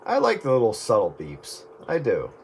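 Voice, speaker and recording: A man's voice: mid-range voice, wildly swooping pitch, moderate pace, gravelly. A man, a radio hobbyist, chatting casually with others over a two-way radio. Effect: two-way radio transmission. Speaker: radio hobbyist who records the sessions. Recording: CB radio signal with faint static.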